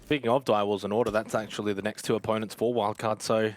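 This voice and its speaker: A man's voice: on the low side, little pitch movement, fast talker. A man commentating on an esports match in a conversational, explanatory way. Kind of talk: analytical live match commentary.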